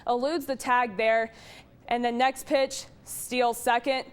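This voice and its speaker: Female TV sports reporter, scripted news narration, voice on the high side. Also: flat delivery, slow pace, clean tone.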